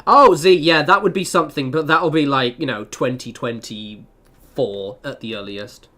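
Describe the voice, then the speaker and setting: A man's voice: mid-range voice, normal speed. A young man chatting casually as he streams a game, telling rambling stories and often interrupting himself. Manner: rambling, animated storytelling with self-interruptions. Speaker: game livestreamer. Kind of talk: casual livestream chat over gameplay.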